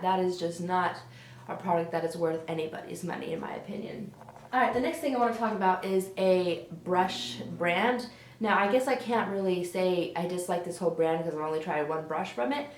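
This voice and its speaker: Hoarse young woman chatting in an echoey room. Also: lowish voice, a little mellow, a little hiss or hum. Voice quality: slightly raspy. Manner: chatty, frank about products she dislikes. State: hoarse, recovering from strep throat. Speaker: beauty vlogger. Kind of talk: casual product review to camera. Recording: roomy echo.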